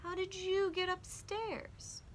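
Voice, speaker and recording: A woman's voice: very high-pitched, flat delivery, takes it slowly, voice pushed up, low-quality recording. A woman voicing a suspicious, puzzled male night watchman in a children's storybook, emphatic and questioning. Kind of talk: children's storybook read-aloud.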